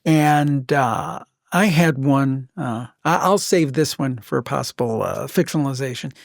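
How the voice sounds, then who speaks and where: A man hosting a podcast, telling stories casually and emphatically, with deliberate pauses. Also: mid-range voice, lively intonation, gravelly, no room sound, studio quality. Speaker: podcast host. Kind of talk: casual conversational storytelling.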